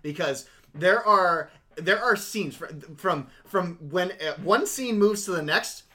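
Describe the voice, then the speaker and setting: Young man, higher-pitched, bright tone, rambling casual critique. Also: slow pace. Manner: rambling, animated critique with frequent fillers. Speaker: podcast co-host. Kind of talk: casual anime review chat.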